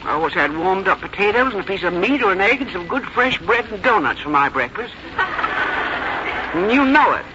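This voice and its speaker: A woman's voice: mid-range voice, very animated, fairly quick, pushed and bright. An actress playing a stubborn, plain-spoken elderly American mother with a blunt, folksy, complaining manner in a 1950s radio drama. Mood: grumbling, stubborn. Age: older. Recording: noisy, muffled 1951 radio recording.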